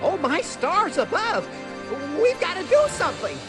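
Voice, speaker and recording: Wildly animated, fretful cartoon cricket. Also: male voice, very high-pitched, a little slow, full character voice. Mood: alarmed. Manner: folksy, excitable, stammering. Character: small, fretful, loyal old-fashioned cartoon cricket. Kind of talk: animated video game voice acting. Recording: music behind the voice.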